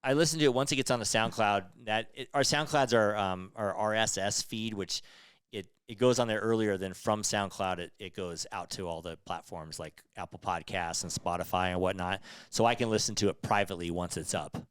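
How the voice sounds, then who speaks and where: A nasal man hosting a podcast, talking fast and rambling through his points with restarts. His voice is clear and smooth. The sound is close and dry with no room around it. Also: on the low side, steady tone, a bit bright, no room sound. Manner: rambling, self-interrupting, chatty. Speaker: podcast host. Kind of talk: casual podcast chat.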